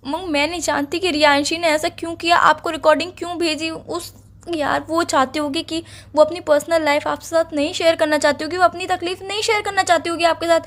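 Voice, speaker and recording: A woman's voice: very high-pitched, fairly even intonation, fairly quick, fairly bright tone. A woman playing a defensive young girlfriend accused of cheating in a dramatized breakup phone call, earnestly and insistently pleading to be believed. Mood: pleading, hurt.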